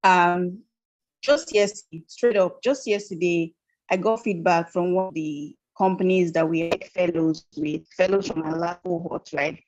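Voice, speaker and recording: A woman speaks over a video call with an unstable connection. Her voice sits low, and she talks earnestly, often restarting her sentences. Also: fairly even intonation, normal speed, a little mellow, dry recording, highs cut off. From Nigeria. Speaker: guest trainer. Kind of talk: online training talk. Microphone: video call on a weak connection.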